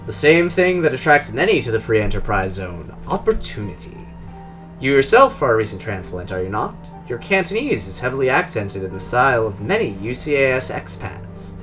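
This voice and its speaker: A man voicing a polished, guarded Russian drone engineer who talks in a formal, measured, precise way, with a Russian accent. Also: medium pitch, very animated, fairly bright tone, faint music behind.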